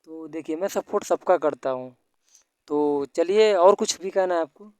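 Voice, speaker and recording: A man's voice: fairly high pitch, moderate pace, darkish tone, clean tone, dry recording. A man speaking on an informal recorded phone call, explaining things politely and at length. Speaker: YouTube news creator.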